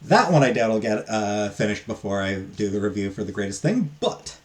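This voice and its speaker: Low-voiced man giving a halting casual review. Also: sing-song. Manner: halting, hesitant delivery with trailing asides. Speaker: book reviewer. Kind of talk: casual unscripted review to camera.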